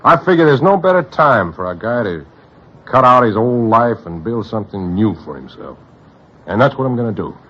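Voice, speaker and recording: An actor playing a lovestruck, weary New crook who wants to go straight, in a 1940s radio drama with a husky voice. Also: male voice, on the low side, very animated, moderate pace. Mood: earnest, hopeful. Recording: dull vintage radio recording.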